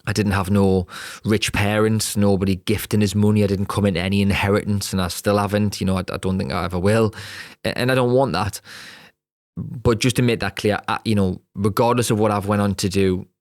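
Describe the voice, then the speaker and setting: A man's voice: lowish voice, steady tone, fairly quick, no room sound, clean studio sound. Blunt Geordie man in his thirties. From Newcastle upon Tyne, England. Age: 30s. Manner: blunt, streetwise, laughs mid-sentence. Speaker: podcast host. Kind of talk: candid personal storytelling.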